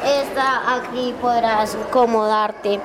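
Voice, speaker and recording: A woman acts out a vampire host in a homemade radio drama. The voice is on the high side and courteous, offering hospitality with an eerie politeness. There is clear background noise from a live workshop. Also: fairly even intonation, takes it slowly. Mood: courteous, inviting. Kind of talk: improvised children's radio drama. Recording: noisy live workshop recording.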